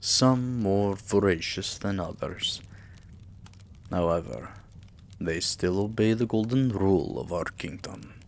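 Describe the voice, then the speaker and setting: Man voicing a deep, slow, tender vampire king. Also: exaggerated voice. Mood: fond, reassuring. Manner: slow, hushed, breathy murmur, often dropping to a whisper; regal, doting endearments. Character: tender, possessive immortal vampire king, soothing his beloved pet. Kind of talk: intimate ASMR roleplay acting.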